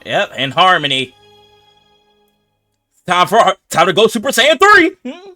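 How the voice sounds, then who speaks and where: Live game streamer, man, casual and profane, fairly high and very lively, faint music underneath. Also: measured pace. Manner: slangy, profane. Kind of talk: casual live gameplay commentary.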